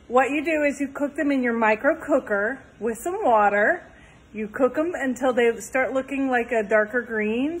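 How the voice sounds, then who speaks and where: A woman's voice: fairly high pitch, slightly rough, low-quality recording. A woman in her thirties, talking casually and enthusiastically while showing a home cooking recipe in her kitchen, filmed on a phone. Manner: chatty and enthusiastic, upbeat asides. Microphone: phone held close, later propped farther off.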